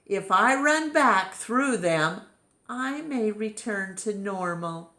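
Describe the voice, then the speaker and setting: A woman's voice: mid-range voice, expressive, takes it slowly, sharp tone, roomy sound, voice pushed up. Woman voicing a playful, mischievous storybook elephant. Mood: sly. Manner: cheerful, theatrical exclamations. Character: playful, mischievous young-hearted male elephant joker.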